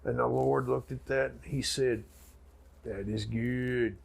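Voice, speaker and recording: A man playing a folksy, grandiose backwoods preacher telling a mock sermon in a raspy, gravelly voice with dramatic pauses. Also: medium pitch, steady tone, full character voice. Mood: solemn, folksy. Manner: drawn-out, folksy, dramatic pauses and sudden swells.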